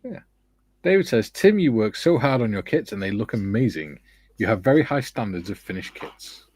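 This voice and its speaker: A man's voice: fairly low pitch, very animated, normal speed, a little mellow, slightly rough, no echo. A man hosting a casual stream, reading viewer comments aloud and teasing his co-hosts in a jokey way. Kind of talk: casual chat.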